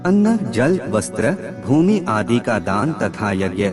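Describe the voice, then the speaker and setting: Expressive low-voiced male narrator over music. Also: slow pace. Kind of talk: scripted narration of moral aphorisms. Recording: music bed under the voice. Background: background music.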